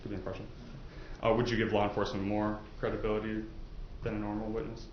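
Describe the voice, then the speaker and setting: Muffled, echoey, low-voiced male prosecutor. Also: little pitch movement, quick pace. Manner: conversational, chatty, uses everyday examples. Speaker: prosecutor questioning prospective jurors. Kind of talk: informal courtroom jury questioning. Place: courtroom. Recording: echoey, muffled, noisy courtroom recording.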